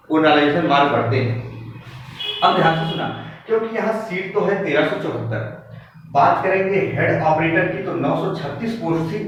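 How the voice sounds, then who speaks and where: A man lecturing like a coaching teacher, explaining figures emphatically and repeating key points to students. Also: on the high side. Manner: emphatic, repetitive, directly addressing students. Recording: very echoey room, distant muffled mic, noisy.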